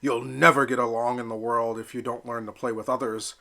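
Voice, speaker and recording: A man voicing a gruff, sports-loving father duck in a storybook, loud and insistent. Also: medium pitch, flat delivery, brisk. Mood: exasperated.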